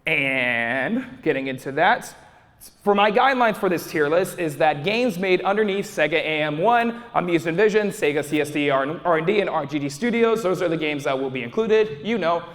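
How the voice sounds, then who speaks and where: A man's voice: on the high side, animated, moderate pace, fairly bright tone. Young man rambling at a convention panel. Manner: rambling, frequent restarts and fillers. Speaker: YouTuber presenting a convention panel. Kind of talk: casual live panel talk. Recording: audience recording with long hall reverb.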